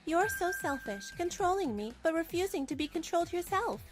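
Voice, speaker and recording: Woman acting high-voiced, cutting betrayed young wife. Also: big pitch swings, fairly quick, faint music behind. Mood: exasperated. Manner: cutting, accusatory. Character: betrayed young wife, cold, scornful, righteously angry. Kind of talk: dubbed manga drama acting.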